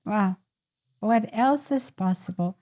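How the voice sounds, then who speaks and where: A woman's voice: medium pitch, takes it slowly. A woman from Ukraine, hosting a casual group podcast chat and affirming the others as she talks. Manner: warm, affirming listener with frequent murmurs, emphatic when making a point. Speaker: podcast host.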